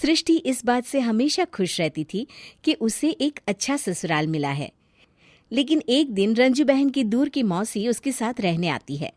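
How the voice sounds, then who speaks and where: Lively woman storybook narrator. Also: fairly high pitch, measured pace, no room sound, studio quality.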